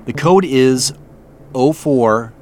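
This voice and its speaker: A man's voice: mid-range voice, extremely slow, a crowd in the background. An actor playing an uneasy, suspicious man, hesitant and guarded, in a naturalistic audio drama. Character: Joseph, uneasy suspicious man on a phone call. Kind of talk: naturalistic audio drama acting with first-person narration.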